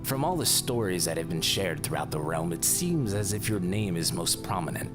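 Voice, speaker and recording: Florid courtly lord in his thirties, as a man plays him; lively swooping delivery over a music bed. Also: normal speed. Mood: awestruck. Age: 30s. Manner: florid, swooping and courtly, sinking low and brooding when upset. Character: vain flattering young male lord, theatrical and bitter. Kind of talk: audio drama acting.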